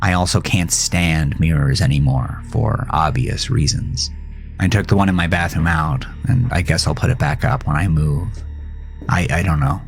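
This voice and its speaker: Male actor, low register, as a haunted, regretful horror narrator; intense, deliberate, with clear music bed. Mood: somber, haunted. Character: haunted, regretful man recounting his fight with a monster. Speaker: narrator and host of a horror audio drama. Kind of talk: dramatic first-person horror narration.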